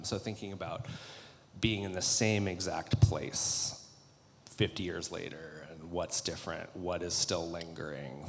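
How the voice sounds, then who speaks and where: A man giving an informal, conversational artist talk with slides, sounding keen on the work. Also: low-pitched. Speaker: artist on a panel. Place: theatre, live panel event. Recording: echoey hall with long reverb.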